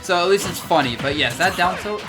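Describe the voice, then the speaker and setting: A woman's voice: fairly low pitch, expressive, brisk, music in the background. A woman commentating a fighting game match live, giving eager play-by-play analysis. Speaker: esports commentator.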